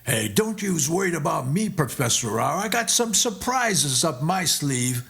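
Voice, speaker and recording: A man's voice: fairly high pitch, big pitch swings, measured pace, creaky, a bit bright, voice pushed up, heavily acted. Man putting on a cocky, slangy young music student. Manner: casual, slangy, breezily self-assured. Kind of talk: character voice in a narrated story.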